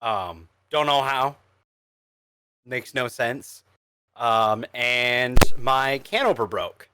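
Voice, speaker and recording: A podcast co-host chatting casually about films, halting and easily flustered. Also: mid-range voice, lively intonation, sharp tone, clean tone. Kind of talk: casual chat reviewing films on a livestream podcast.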